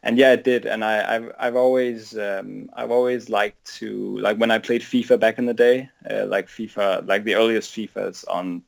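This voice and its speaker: A man's voice: on the low side, steady tone, moderate pace, vocal fry, hardly any pauses. A man in his twenties from Denmark, a native Danish speaker, chatting casually in English as a podcast guest, hesitant and often repeating words. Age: 20s. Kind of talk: casual interview chat.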